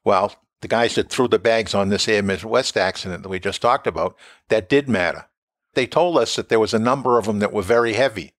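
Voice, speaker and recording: An older man co-hosting an aviation safety podcast, explaining his points in a measured way. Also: lowish voice, fairly bright tone, dry recording, studio quality. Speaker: podcast co-host, former safety board member. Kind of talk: conversational podcast discussion.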